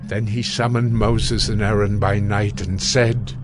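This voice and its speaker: A man narrating dramatized scripture in a solemn, measured storytelling manner. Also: lowish voice, level tone, over music.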